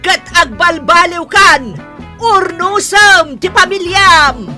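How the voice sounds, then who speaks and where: A woman's voice: very high voice, expressive, slow pace, pushed and bright, faint music behind, full character voice. A woman with an Ilocano Filipino accent playing a nosy, moralizing aunt in a radio drama. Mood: scolding, moralizing.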